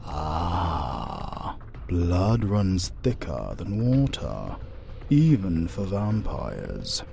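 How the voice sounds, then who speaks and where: Man voicing a deep, gravelly, haughty vampire. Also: very animated, warm tone, a little hiss or hum, exaggerated voice. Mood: smug. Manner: formal, ornate, deliberate. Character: formal, haughty vampire, centuries-old former spy, scornful. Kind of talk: dark roleplay audio drama.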